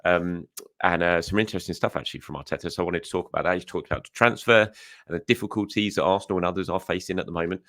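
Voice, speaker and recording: Croaky, low-voiced man hosting a chat show. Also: fairly even intonation, racing, a bit bright, dry recording, clean studio sound. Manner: chatty, with frequent fillers and self-corrections. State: hoarse. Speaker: football news show host. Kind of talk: casual solo commentary.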